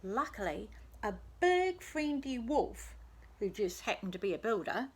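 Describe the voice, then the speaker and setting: A woman storyteller reading a children's tale expressively and deliberately, as if to young listeners. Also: a little high, big pitch swings, breathy edge. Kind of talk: scripted children's story narration.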